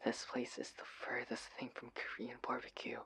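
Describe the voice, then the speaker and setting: Breathy, anxious young husband acted by a man. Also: fairly low pitch, normal speed. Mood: panicked whispering. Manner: hushed, pleading, breathy. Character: adorkable, anxious, oblivious husband, affectionate and flustered. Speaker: audio roleplay performer. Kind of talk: intimate audio roleplay acting.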